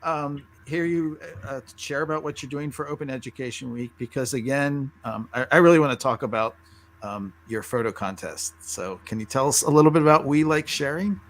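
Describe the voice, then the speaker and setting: A man hosting an interview, friendly and animated, laughing easily as he chats with his guest. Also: mid-range voice, brisk. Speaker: interview host. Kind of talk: casual interview chat.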